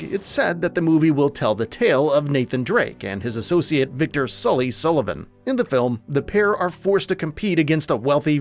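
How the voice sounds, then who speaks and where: A man reading scripted narration as the host of a movie list show, in a polished, emphatic presenter's delivery. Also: fairly high pitch. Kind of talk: scripted video essay narration.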